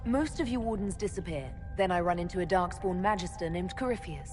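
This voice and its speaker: A composed elven woman , played by an actress, leads with direct, probing lines in a slightly low voice. It is dramatic game dialogue over clearly audible music. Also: brisk, a little mellow, natural voice. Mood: firm, questioning. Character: composed, direct female elven mage leader. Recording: background music under the voice.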